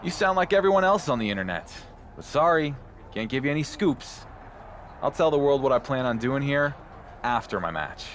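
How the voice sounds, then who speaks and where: Game casting: guarded, needling male champion, animated, medium pitch. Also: normal speed, slight background noise. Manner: wry, needling. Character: self-assured, guarded male champion wrestler. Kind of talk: video game cutscene dialogue.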